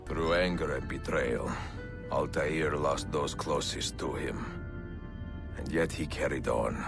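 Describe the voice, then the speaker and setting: A world-weary Assassin with an extremely deep, gravelly voice. He is measured and brooding, in the dramatic manner of a video game. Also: over music, full character voice. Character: seasoned, solitary male Assassin, world-weary and reflective.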